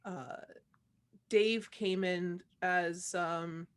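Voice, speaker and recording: A woman from an audio drama cast, chatting casually in a giggly, animated manner. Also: fairly low pitch, drawn-out words, clean tone. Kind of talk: casual group chat.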